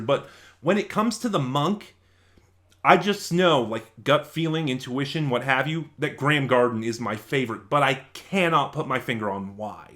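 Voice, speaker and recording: A man giving casual review commentary as an online reviewer, in a halting way, often rephrasing mid-sentence. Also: mid-range voice, fairly bright tone.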